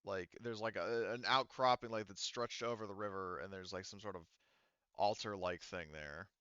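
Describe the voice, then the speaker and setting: A man with a low, clean voice acts as game master, describing scenes off the cuff. He gropes for words as he goes. The sound is close and dry, though slightly dull. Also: fairly even intonation, pushed and bright, clean tone, no echo. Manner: halting, searching for words while describing scenes. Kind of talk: improvised narration of a tabletop roleplaying game.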